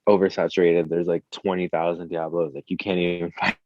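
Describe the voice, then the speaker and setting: A man's voice: deep voice, little pitch movement, fairly quick, a little mellow, no echo, lo-fi sound. A man chatting casually as an interview guest, a musician with an informal, slangy, hesitant way of talking, saying "like" constantly. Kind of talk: casual chat.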